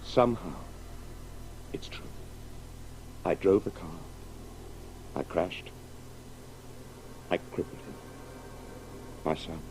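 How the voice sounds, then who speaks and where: Slow, tormented father. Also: sits low, lively intonation, a little mellow, faint music behind. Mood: haunted, brooding. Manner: halting, repeating words in anguished brooding. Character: tormented, guilt-ridden father brooding over his crippled son. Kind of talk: 1980s radio drama acting. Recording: noisy old radio broadcast with hum.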